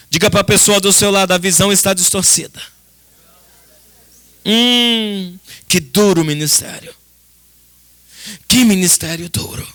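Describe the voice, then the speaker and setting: High, bright-voiced Brazilian man preaching. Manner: emphatic, dramatic, with rhetorical repetition and direct address to the congregation. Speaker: pastor preaching. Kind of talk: impassioned church sermon. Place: church service.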